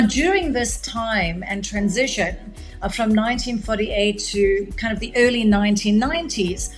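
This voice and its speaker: A middle-aged woman, a media executive speaking as a podcast guest, giving a long, measured, articulate account of her background. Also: medium pitch. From South Africa. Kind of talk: podcast interview, long storytelling answer.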